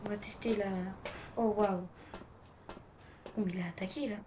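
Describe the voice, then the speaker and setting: A woman's voice: mid-range voice, fairly even intonation, a little slow. A woman giving casual video game commentary, thinking aloud to herself and often repeating her phrases. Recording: clear background noise, game ambience.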